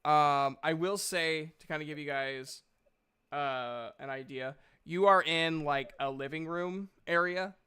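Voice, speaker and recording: A man casually narrating a tabletop game as game master, halting and thinking aloud as he goes. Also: a little high, sharp tone, clear, resonant tone.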